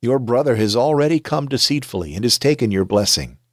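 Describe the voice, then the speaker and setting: A man reading aloud the words of a gentle, solemn old blind father in a scripture reading. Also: medium pitch, animated, a little fast, no echo, clean studio sound, close to an everyday voice.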